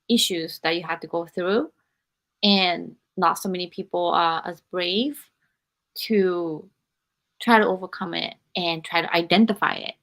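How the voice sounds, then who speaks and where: A woman from Japan, a non-native English speaker, hosting a live podcast interview and encouraging her guest while pausing to search for words. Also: on the low side, fairly bright tone. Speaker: podcast host.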